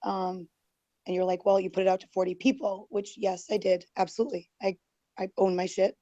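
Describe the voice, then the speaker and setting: A woman's voice: mid-range voice, level tone, a little fast, mellow, highs cut off, slight background noise. A woman who is losing her voice, talking defensively and rambling with many fillers on a group call. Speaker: guest. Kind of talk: heated online group call.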